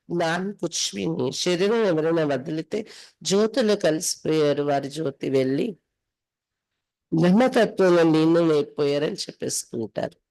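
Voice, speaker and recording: A woman's voice: low voice, darkish tone, rough recording. A woman from India, reading scripture aloud and explaining it phrase by phrase as a spiritual teacher. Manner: instructive, reads then translates and explains phrase by phrase.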